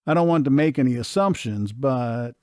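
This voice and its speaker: A man's voice: medium pitch, expressive, normal speed, darkish tone, no echo, clean studio sound, natural voice. A man reading as a playful small-town charmer. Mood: hesitant. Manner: teasing, easygoing.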